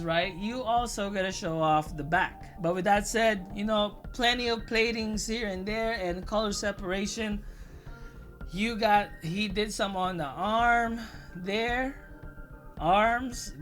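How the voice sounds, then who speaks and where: Chill hobby channel host just chatting, lots of ums n likes, mid pitch, soft music behind. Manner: easygoing, searching for words with many fillers. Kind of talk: casual review commentary.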